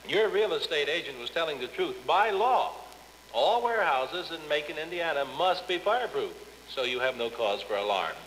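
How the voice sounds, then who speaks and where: A man's voice: on the high side, big pitch swings, moderate pace. A man playing a pompous, mock-polite radio expert who answers questions with wordy, absurd advice in a comedy skit. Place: radio studio. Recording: noisy old radio record, long reverb.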